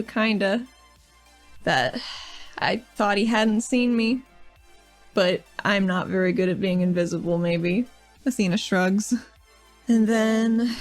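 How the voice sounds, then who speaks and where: A woman playing her character in a tabletop game, speaking in a casual, rambling way as she recaps events. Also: medium pitch. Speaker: player.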